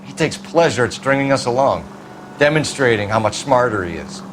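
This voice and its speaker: A man's voice: medium pitch, animated, brisk, noisy background, natural voice. A man playing an earnest, bookish young 1940s police detective in a video game, clipped and serious. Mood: serious, focused. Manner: clipped, serious, recites verse gravely. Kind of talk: dramatic video game acting.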